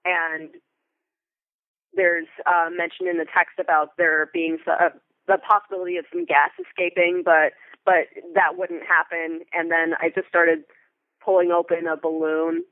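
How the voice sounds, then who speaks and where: A woman's voice: fairly low pitch, little pitch movement, moderate pace, no room sound. A woman speaking as an interview guest, a Foley artist telling stories in a casual, enthusiastic and descriptive way. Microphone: phone or remote call. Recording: tinny, narrow phone-like line.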